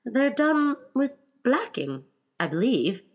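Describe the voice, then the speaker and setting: Storybook young girl read by a woman: courteous, inquisitive, shy, stumbling over words, lively rise and fall. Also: high-pitched, slow, pitched above the performer's own voice, heavily acted. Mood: curious. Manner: hesitant, stammering. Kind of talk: storybook character reading.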